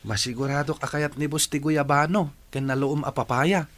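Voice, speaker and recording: A man's voice: a little high, steady tone, normal speed, fairly bright tone, natural voice. A man playing a dutiful, easygoing young village son in an Ilocano radio drama. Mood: easygoing, obliging.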